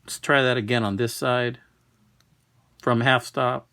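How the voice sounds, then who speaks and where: A man's voice: mid-range voice, fairly even intonation, a little slow, sharp tone, no echo. A man talking casually and unhurriedly, like a collector presenting an item in a homemade video. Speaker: knife collector presenting a find. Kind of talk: casual product showcase.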